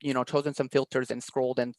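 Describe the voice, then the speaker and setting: A man's voice: mid-range voice, little pitch movement, fast, darkish tone, dry recording. A man chatting casually as an interview guest, explaining his work as an online teacher in loose, half-finished thoughts.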